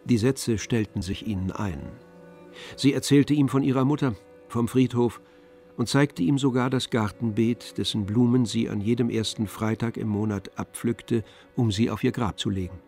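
A man narrating a literary radio play in a measured, composed manner. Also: mid-range voice, a little mellow. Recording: dry studio with faint music.

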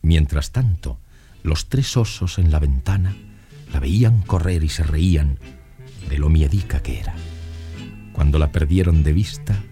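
A man's voice: extremely low pitch, measured pace, pauses often, mellow. A man narrating a children's story in a scripted storytelling style. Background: light music.

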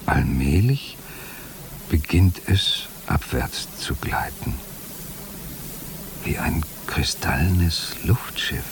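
An actor voicing an entranced man in a radio play monologue, halting, hushed and poetic, as if in a trance. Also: low voice, lively intonation, heavily acted. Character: entranced man watching time stand nearly still. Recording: clear hissing noise behind voice.

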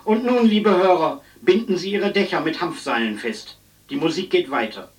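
An actor playing an earnest radio newsreader in a radio play, reading a formal, measured storm bulletin. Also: male voice, high voice, lively intonation, far from the mic, poor audio. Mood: formal, urgent.

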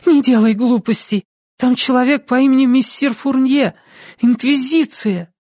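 A woman's voice: sits high, measured pace, a little mellow, no room sound, clean studio sound, pitched above the performer's own voice. A woman narrator voicing a desperate, weary young woman who pleads insistently with her beloved in an audiobook. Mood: urgent warning.

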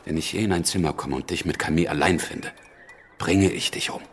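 A man's voice: sits low, fairly quick, slight background noise. An actor playing a blunt, jealous, menacing male revolutionary in a German radio drama. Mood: threatening.

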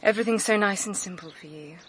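A woman's voice: medium pitch, fast, slight background noise, close to an everyday voice. Guarded, uneasy young woman, played by an actress. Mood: uneasy, frank. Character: guarded, fearful young woman, frank about her family. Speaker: actor. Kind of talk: radio drama acting.

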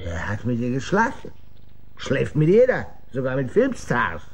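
An actor playing a hungover, bitter, cynical gentleman with a sardonic and weary manner in a German radio drama. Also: medium pitch, wildly swooping pitch, a little slow, full character voice. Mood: bitter, irritated.

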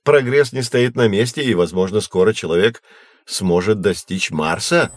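Actor as low-voiced patient astronomy guide, man. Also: background noise, close to an everyday voice. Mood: explanatory, patient. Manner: measured, explanatory, reassuring to children. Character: patient knowledgeable adult man guiding children through astronomy. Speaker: teacher-guide in educational audio drama. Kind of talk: scripted educational audio drama, explanatory.

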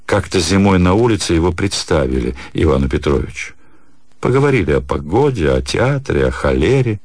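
Very deep-voiced, expressive male narrator. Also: slightly rough, dry recording. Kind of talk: dramatic literary narration.

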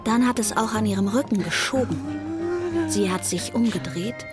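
A woman's voice: mid-range voice, normal speed, over music, close to an everyday voice. A woman playing a naive, earnest young child who narrates a radio drama in a plain, matter-of-fact way.

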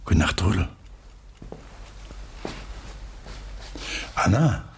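An actor playing a stern, stubborn middle-aged father in a radio drama, terse and authoritative, with a husky, gravelly voice. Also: low voice, big pitch swings, a little fast, a little hiss or hum, heavily acted. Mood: curt, subdued.

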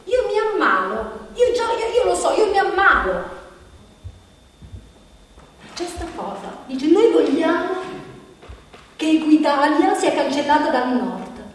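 Far-off echoey hall, anxious worn-out woman near forty, slow halting stage monologue, keeps repeating herself. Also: high voice, big pitch swings, full character voice. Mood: agitated, angry. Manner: halting, repetitive, insistent, with rhetorical questions. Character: anxious, bitter woman nearing forty, exhausted and self-reproaching. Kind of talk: theatrical stage monologue, confessional.

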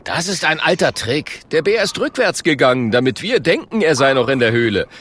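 A Stone Age caveman from a children's audiobook, performed by a man. He is a blustering show-off who flusters easily, with a raised, excitable pitch that jumps around wildly. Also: fairly quick, a little hiss or hum. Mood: cocky. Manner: blustering, stammering when scared.

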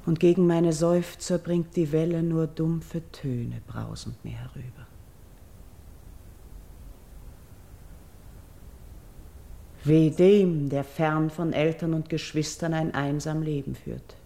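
An actress playing a noble, devout exiled priestess in grief, delivering classical radio verse in a solemn, declamatory, measured way, at times hushed. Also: female voice, deep voice, steady tone, vocal fry. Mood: yearning, sorrowful.

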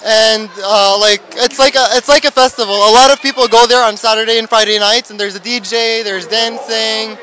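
Teenage boy, bright high voice. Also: steady tone, normal speed, hardly any pauses, rough recording. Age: teens. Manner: hesitant, lots of "like" fillers. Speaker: interviewee. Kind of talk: casual street interview. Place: outdoor festival.

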